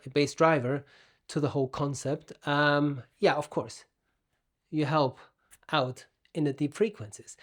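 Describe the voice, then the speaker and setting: A man giving an explanatory product review as an audio gear reviewer, phrasing things deliberately and emphatically with pauses. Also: fairly high pitch. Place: room at home.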